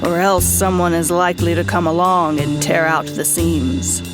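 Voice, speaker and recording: Casting: actress as wry noir detective, lowish cool voice, clear music underneath, radio play. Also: female voice, fairly even intonation, normal speed, lightly acted. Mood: reflective. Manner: cool, sardonic. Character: rakish, wry hardboiled private detective. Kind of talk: noir radio drama, scripted.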